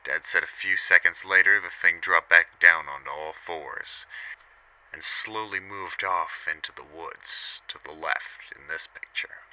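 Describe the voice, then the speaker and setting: A man reading as a deep-voiced man recalling his boyhood. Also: unhurried, bright, forceful tone, heavily acted, low-quality recording. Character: grown man recalling his father's sighting. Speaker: voice actor reading a witness letter. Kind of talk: first-person storytelling narration.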